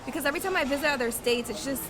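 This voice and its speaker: A woman in her early twenties chats as a guest, bubbly and quick with casual fillers. Her voice sits a touch high, and loud restaurant crowd noise fills the background. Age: 20s. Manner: bubbly, quick, lots of likes. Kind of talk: casual interview chat. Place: busy restaurant.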